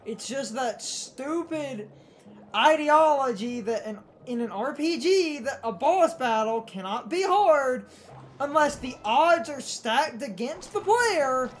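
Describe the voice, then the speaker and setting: A woman's voice: high voice, lively intonation, takes it slowly, a little hiss or hum. A woman giving casual gaming commentary in a blunt, opinionated way. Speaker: co-host of a let's play series.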